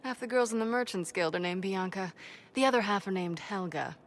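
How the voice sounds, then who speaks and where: Woman playing a wry, mid-pitched dwarf merchant. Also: brisk, a little hiss or hum, natural voice. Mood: teasing. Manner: breezy, teasing. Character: confident wry female dwarf merchant, playful and teasing. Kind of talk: video game cutscene acting.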